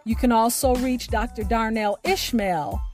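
A woman hosting a podcast, reading a scripted sign-off in a deliberate, emphatic way. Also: a little high, darkish tone, soft background music. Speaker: podcast host.

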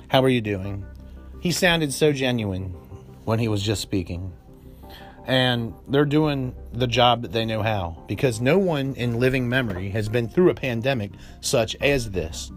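Worried American man hosting a podcast. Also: mid-range voice. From United States. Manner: worried, rambling, repeats "period" for emphasis. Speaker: podcast host. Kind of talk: anxious solo podcast monologue.

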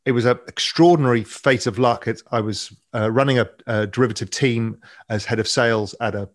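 A man in his fifties with an English accent, a podcast guest telling his career story in a relaxed, rambling way with frequent ums. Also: medium pitch, a little fast. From England. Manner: relaxed, anecdotal, many ums. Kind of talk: interview storytelling.

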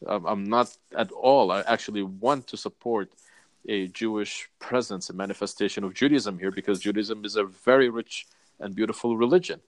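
A Palestinian man with a fairly low voice, talking in a measured, deliberate way and building his points in lists. The sound is close and dry, with no room around it. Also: no echo. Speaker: interview guest. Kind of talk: earnest advocacy interview.

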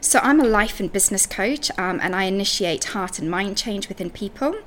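A woman's voice: mid-range voice, animated, a little fast, fairly bright tone, slight background noise. A woman from Zimbabwe speaking as a podcast guest and coach in a conversational interview.

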